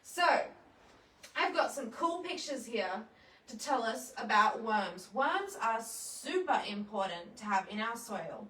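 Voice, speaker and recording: A woman's voice: on the high side, big pitch swings, a little slow, sharp tone. A woman presenting a children's storytime, explaining things simply and putting questions to young viewers. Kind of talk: children's storytime with songs and read-alouds. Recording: roomy echo, distant microphone.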